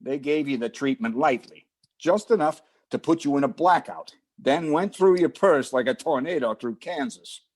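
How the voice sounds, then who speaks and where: A man's voice: on the high side, lively intonation, moderate pace, darkish tone. A man playing an exasperated but fond police detective in an old-time radio drama, talking in hectoring slang. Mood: questioning. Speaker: supporting actor in community theatre radio recreation.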